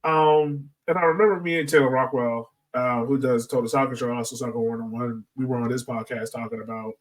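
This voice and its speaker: A man's voice: medium pitch, fairly even intonation, fairly quick, far from the mic, low-quality recording. A man hosting a podcast in a casual, informal discussion style. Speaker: podcast host.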